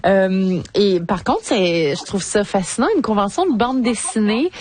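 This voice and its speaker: A woman's voice: medium pitch, measured pace, a little mellow, no room sound. A woman hosting a radio interview, engaged and lightly teasing, laughing easily with her guest. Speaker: radio host.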